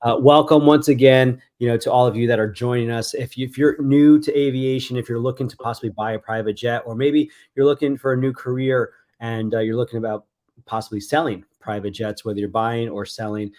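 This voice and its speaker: A man's voice: medium pitch, fairly even intonation, fairly quick, a little mellow. A man hosting an interview show, speaking with enthusiasm and often repeating himself. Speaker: host.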